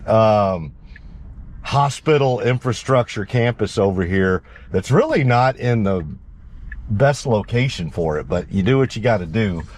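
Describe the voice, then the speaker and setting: A man's voice: on the low side, lively intonation, unhurried, a little mellow. Man chatting casually in a noisy car. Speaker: host filming a car test drive. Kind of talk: casual conversation while driving. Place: inside a moving car. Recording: clear car cabin road noise.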